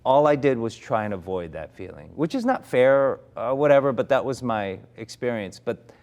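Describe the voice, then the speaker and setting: A man's voice: mid-range voice, expressive, normal speed, darkish tone. Reflective man with frequent restarts. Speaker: actor, interview guest. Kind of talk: candid conversation.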